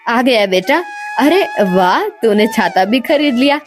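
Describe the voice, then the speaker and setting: Woman playing a caring, fretful village mother, lively. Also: on the high side, slow pace. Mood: pleased, wondering. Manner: fussing, expressive. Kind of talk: storybook character dialogue. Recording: music bed behind the voice.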